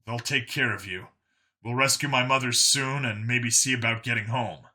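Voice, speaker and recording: A man voicing a hardened, grimly sardonic human lawman with a flat, blunt, deadpan manner in an audiobook story. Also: medium pitch, moderate pace. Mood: brisk, businesslike.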